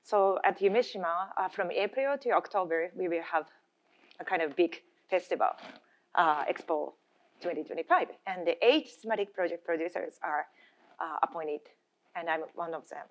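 A middle-aged Japanese woman speaks at a conference lectern in a slightly low voice. Her English carries a Japanese accent and comes haltingly, with restarts as she searches for the word. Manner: halting, searching for words in a second language. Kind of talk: conference presentation. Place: indoor conference room, at a lectern. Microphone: gooseneck lectern microphone.

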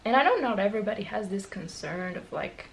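Gravelly young Romanian woman vlogging. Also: mid-range voice, sing-song, a little fast, a little hiss or hum, rough recording. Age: 20s. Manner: candid, rambling, lots of fillers and restarts. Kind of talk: casual talk to camera. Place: small bedroom indoors.

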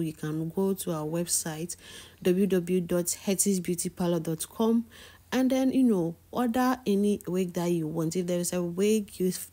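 A woman's voice: fairly low pitch, warm tone. A woman giving a casual, enthusiastic tour of her home salon, talking with lots of "you know" fillers while walking the camera around a small indoor room. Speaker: wig maker and hairstylist giving a salon tour.

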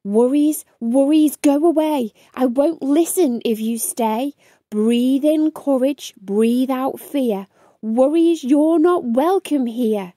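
A woman's voice: high voice, slow pace, warm tone, dry recording, studio quality. A woman voicing an anxious young male beaver in a children's storybook, expressively fretting and chanting to himself for courage. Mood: determined chanting. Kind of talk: children's storybook read-aloud.

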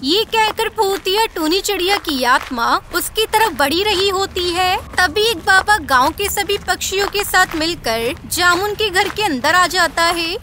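A woman's voice: very high-pitched, animated, measured pace, few pauses, noisy background. A woman narrating a scripted children's cartoon story.

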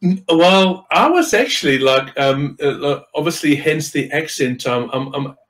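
A man's voice: fairly high pitch, lively intonation, unhurried, fairly bright tone. Rambling middle-aged man from Zimbabwe. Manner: rambling, many fillers and restarts, swears casually. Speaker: podcast guest, wreck diver. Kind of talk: casual chat. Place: home room with window. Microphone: video call.

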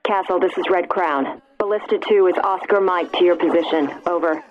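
A woman's voice: fairly low pitch, brisk, crowd noise. A woman playing a clipped, composed military command dispatcher in a video game, heard through a radio filter. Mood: composed, official. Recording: thin, phone-like radio transmission.

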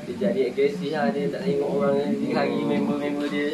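A man's voice: fairly high pitch, monotone, a little fast, roomy sound, heavy background noise. A man with a Malaysian Malay accent, a part-time fish breeder, giving brief, matter-of-fact answers in casual chat indoors.

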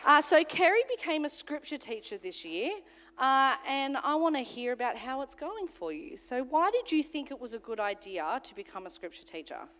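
A woman, a children's minister whose voice is slightly croaky, interviewing in an upbeat way on stage. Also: high-pitched, very animated, moderate pace, a bit bright. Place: church sanctuary. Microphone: handheld mic. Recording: long church hall reverb.

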